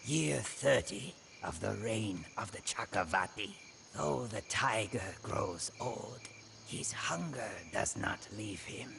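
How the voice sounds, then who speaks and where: A man's voice: on the low side, expressive, slow pace, creaky, noisy background, heavily acted. An actor playing a proud, brooding, ambitious Burmese warrior king, narrating his reign solemnly and grandly in a storybook game cutscene. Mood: weary, reflective.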